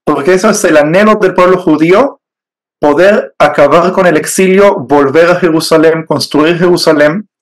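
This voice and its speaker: A man from Israel whose first language is Hebrew, speaking in a measured, hesitant way with fillers as an interview guest telling his faith story. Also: a little high. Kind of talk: personal faith testimony.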